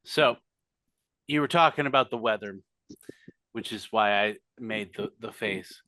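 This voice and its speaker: A man's voice: on the low side, measured pace, bright, forceful tone, no room sound. A man chatting on a podcast over a call, apologetic and self-deprecating. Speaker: podcast co-host. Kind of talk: casual chat. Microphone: remote call mic.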